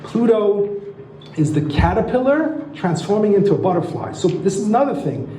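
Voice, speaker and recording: A man's voice: sits high, very animated, normal speed, mellow, slight background noise. A man giving an enthusiastic teaching lecture on astrology, often asking his listeners rhetorical questions. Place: lecture room. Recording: roomy echo.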